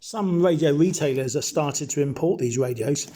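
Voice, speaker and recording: Mellow man, nostalgic narration. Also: a little high, moderate pace. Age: middle-aged or older. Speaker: radio hobbyist presenter. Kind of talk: nostalgic narrated retrospective, semi-scripted.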